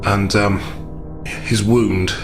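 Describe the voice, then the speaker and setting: A man playing a calm, analytical gentleman investigator in a tabletop game, reasoning aloud with deliberate understatement. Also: on the low side, over music. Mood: measured, grave.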